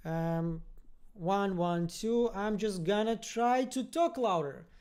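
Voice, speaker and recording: A livestream host with a soft-edged, mellow voice. The talk is friendly and informal, wandering and halting while reading out and answering chat comments. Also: medium pitch, clean tone. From born in Russian-speaking Ukraine, raised from age ten in Israel. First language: Russian. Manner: friendly, rambling, halting, searching for words. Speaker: host of a fan review livestream. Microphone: computer-connected microphone on a livestream.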